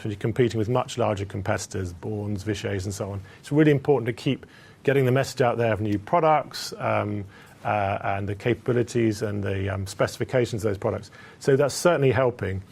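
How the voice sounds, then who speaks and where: A man speaking as a company executive, answering analysts on an earnings call in a hesitant, halting, measured way. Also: lowish voice, creaky, darkish tone, husky.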